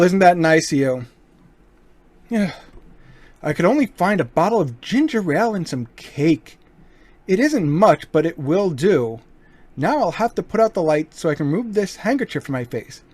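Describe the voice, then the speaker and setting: A suave gentleman burglar, acted by a man in an old-time radio crime drama. He taunts with unhurried, mock-courteous politeness and quiet menace. His pitch swings widely, recorded dry. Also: sing-song, no echo. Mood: smug, taunting.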